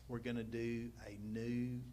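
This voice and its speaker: A man's voice: mid-range voice, flat delivery, a little slow, dark tone. A man explaining repair plans for an old building at a public meeting, speaking plainly with many ums and uhs. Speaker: architect presenting building repairs. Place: city council meeting room. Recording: noisy live-stream audio.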